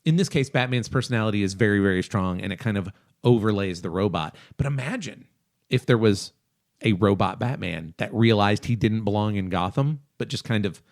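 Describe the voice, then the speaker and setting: A man's voice: lowish voice, fairly quick. A man co-hosting a podcast, casually discussing and explaining his opinions in a review style. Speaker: podcast co-host.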